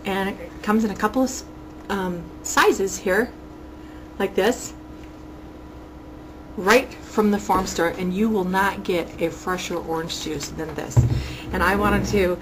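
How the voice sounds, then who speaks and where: A woman's voice: mid-range voice, a little slow, pauses often, noisy background. A woman, around her forties, gushing enthusiastically as she reviews a local outing for her webcam vlog, recorded indoors at home on a built-in mic. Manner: gushing and enthusiastic, exclaims over things. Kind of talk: casual chatty review to webcam. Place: small room at home, indoors. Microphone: built-in webcam mic at close range.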